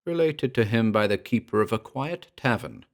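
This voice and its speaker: A man giving a scripted narration of a horror story, with measured, deliberate and formal literary delivery. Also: fairly low pitch, expressive. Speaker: narrator and presenter.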